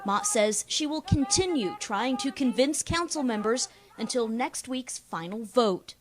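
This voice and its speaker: A woman's voice: a little high, expressive, unhurried. A woman working as a TV news reporter, reading scripted narration for a report. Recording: music behind the voice. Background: music bed.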